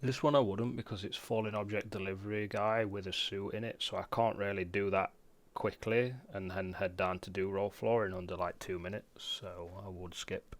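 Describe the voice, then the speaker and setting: A man's voice: low-pitched, steady tone, moderate pace. A man giving casual, matter-of-fact commentary for a video game guide in an informal way. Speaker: gamer presenting a guide.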